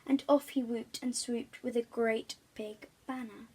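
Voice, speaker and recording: A woman storyteller reads a picture book for young children. Her voice sits fairly high with a slight huskiness. She is expressive and leans on key words. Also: unhurried, husky. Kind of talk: children's storybook read aloud.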